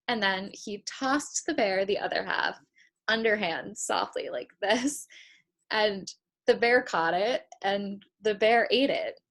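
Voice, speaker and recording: A woman's voice: medium pitch, fairly bright tone, exaggerated voice. An actress playing a dreamy, hesitant young woman who rambles reflectively in a naturalistic play reading. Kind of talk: naturalistic stage drama read over video call.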